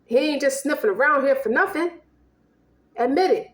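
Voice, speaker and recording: A woman's voice: high voice, lively intonation, moderate pace. A woman acting out a proud, suspicious American ex-military boyfriend, speaking in blunt, accusing, profane lines. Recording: echoey room.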